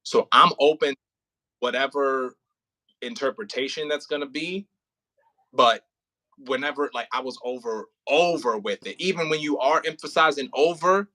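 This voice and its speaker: A man's voice: mid-range voice, normal speed, pushed and bright, low-quality recording. A man talking casually and informally with slang, in the role of writer and host at a rehearsal.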